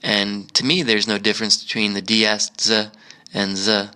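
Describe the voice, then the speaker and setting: A man's voice: lowish voice, little pitch movement, moderate pace, pushed and bright, clear, resonant tone. An American man chatting casually as a travel vlogger in a museum park, rambling with many fillers and restarts.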